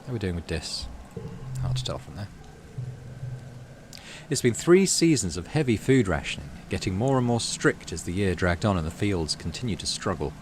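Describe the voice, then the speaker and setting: Male game-channel host, low voice, animated story reading with offhand commentary, noticeable background noise. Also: normal speed. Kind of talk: dramatic read-aloud narration of a game story with casual asides. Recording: clearly audible background noise.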